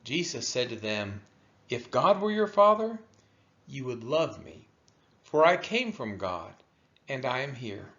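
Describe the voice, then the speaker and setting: A man reading scripture aloud in a solemn style, with deliberate, emphatic phrasing and a dull, lo-fi sound. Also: medium pitch, big pitch swings, a bit bright. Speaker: scripture reader.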